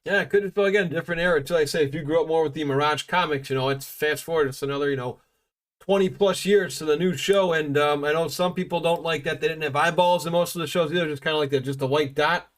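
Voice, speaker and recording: A man's voice: a little high, quick pace. A man hosting a casual podcast chat, talking enthusiastically with fillers and restarts. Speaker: podcast host.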